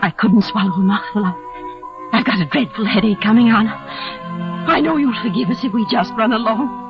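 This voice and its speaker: A woman's voice: fairly high pitch, moderate pace, muffled recording, lightly acted. A woman playing an anguished, pitying middle-aged mother in theatrical 1940s radio drama style. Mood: tearful pity. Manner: theatrical, emotional. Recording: vintage radio broadcast with music.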